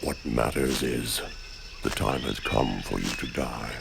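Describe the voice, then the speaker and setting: A man voicing a haughty, menacing ancient oracle in a video game, gravelly and pompous, with slow theatrical delivery. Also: noisy background, heavily acted. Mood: menacing, gloating. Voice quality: gravelly, very deep. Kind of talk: video game villain acting.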